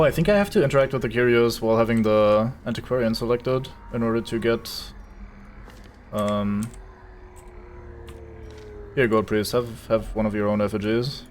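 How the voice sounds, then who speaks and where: A German-accented man streams a game and narrates his moves in a casual, wry way. He teases his own party and swears freely. His voice sits mid-pitch over faint background music. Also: measured pace, pauses often, a little mellow. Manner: sarcastic, joking with the game, swears. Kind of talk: casual gameplay commentary.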